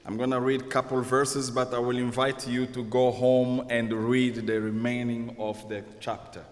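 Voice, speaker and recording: A man whose first language is Kikongo, preaching in English as a guest and pausing in short, measured phrases for an interpreter. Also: medium pitch, flat delivery. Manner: slow, measured phrases paused for translation. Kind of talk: sermon delivered in English with live interpreter. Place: church sanctuary. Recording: long church hall reverb.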